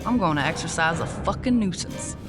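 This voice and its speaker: A woman's voice: on the low side, animated, a little fast, close to an everyday voice. Woman acting a brazen, sardonic exorcist woman. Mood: menacing, smug. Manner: folksy, sardonic, drawling phrasing. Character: sassy, brazen woman exorcist, tough and foul-mouthed. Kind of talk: audio drama acting. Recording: music behind the voice.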